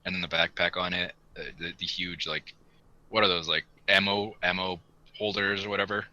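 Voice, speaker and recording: A man's voice: deep voice, level tone, moderate pace, bright, forceful tone, rough recording. A man co-hosting a hobby podcast, chatting casually and enthusiastically in an informal way. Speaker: podcast co-host. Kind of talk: casual hobby chat about model kits.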